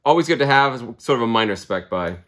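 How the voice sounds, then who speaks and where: A man's voice: medium pitch, lively intonation, fast, fairly bright tone. Man in his thirties, chatty upbeat host, casual show-and-tell from a small room, close to the camera. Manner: chatty, upbeat, lots of fillers. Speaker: comic book channel host. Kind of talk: casual video blog, showing items. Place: small room lined with comic books. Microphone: camera at close range.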